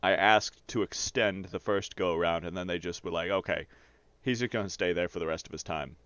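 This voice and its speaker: A man with a lowish voice tells personal anecdotes in a loose, rambling way, as if streaming a game to friends. His language is salty and informal. The audio is a little dull and band-limited, like voice chat. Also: fairly quick, a bit bright, clear, resonant tone, no echo. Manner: rambling, profane, often chuckles while talking. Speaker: streamer telling personal stories while gaming. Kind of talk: casual anecdotal storytelling over gameplay.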